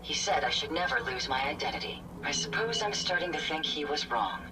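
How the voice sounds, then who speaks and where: An actress playing an earnest, reflective female robot companion in a video game, measured and sincere through a robotic filter. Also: deep voice, expressive, recorded from a distance, noisy background. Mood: wistful. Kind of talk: video game cutscene acting.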